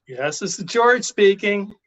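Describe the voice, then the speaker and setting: A man's voice: high voice, animated, a little slow, a bit bright, dry recording. A man playing a casual, businesslike hired killer in a radio play, offhand and reassuring, on a dull recording. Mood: calm, businesslike. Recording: dull, lo-fi sound.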